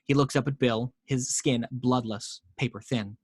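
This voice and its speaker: A man's voice: mid-range voice, steady tone, unhurried, breathy edge, no room sound. A man narrating screenplay action and scene directions as the reader in a scripted table read.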